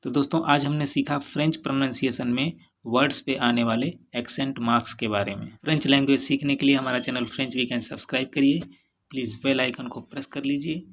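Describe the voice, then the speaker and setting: A man with an Indian English accent teaching French in a bilingual lesson, reading English lines slowly and then explaining them in Hindi. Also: mid-range voice, fairly even intonation, fairly bright tone, a little hiss or hum.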